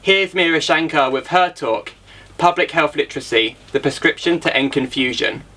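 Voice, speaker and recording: A man's voice: a little high, normal speed, pushed and bright. A man hosting an event, giving a scripted introduction of the next speaker. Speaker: event host.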